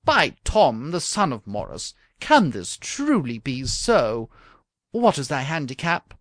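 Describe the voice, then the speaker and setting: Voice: medium pitch, big pitch swings, unhurried, no room sound, clean studio sound. An audiobook reader voicing a lovestruck young king who talks in flowery, old-fashioned phrases. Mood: excited.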